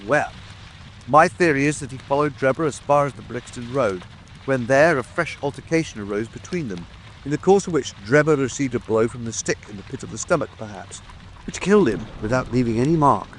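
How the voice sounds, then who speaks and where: Male actor as smug police detective, officious, methodical, medium pitch, audiobook drama, faint rain. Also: animated, a little fast, slight background noise, natural voice, low-quality recording. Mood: self-satisfied. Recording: faint rain ambience behind.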